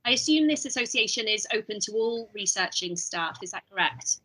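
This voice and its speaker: A woman's voice: medium pitch, animated, a little fast, fairly bright tone, husky, poor audio. A woman co-hosting a podcast, upbeat and encouraging as she passes between guests. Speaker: podcast co-host.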